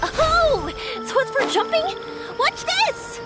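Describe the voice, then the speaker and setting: A woman voicing a spirited, warm-hearted young woman in a video game, expressive and encouraging. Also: very high-pitched, slow, loud music behind the voice, full character voice. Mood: excited. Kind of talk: video game character acting.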